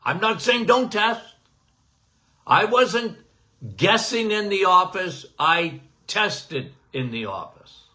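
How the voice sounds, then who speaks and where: An older male doctor talks in a high, bright, ringing voice. He takes his time, pausing between phrases and checking in with "okay?" as he gives a casual, emphatic health chat. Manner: animated, emphatic, repeats "okay?"; says he has a loud voice. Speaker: podcast host, doctor.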